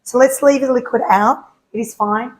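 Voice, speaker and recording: A woman with a croaky voice hosting a home cooking show, chatting casually and answering her own questions as she cooks. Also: on the high side, fairly even intonation, normal speed, a little hiss or hum. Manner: chatty, asks herself questions and answers them.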